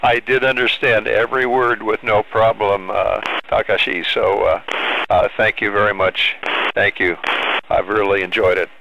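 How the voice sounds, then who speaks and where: An older American man speaking as a friendly amateur radio operator in a deliberate call-sign exchange. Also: fairly low pitch, fairly even intonation, vocal fry, dull audio. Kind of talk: ham radio contact, spelling out call signs. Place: small home radio shack, indoors. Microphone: handheld boom microphone up close. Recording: loud radio static, clicks.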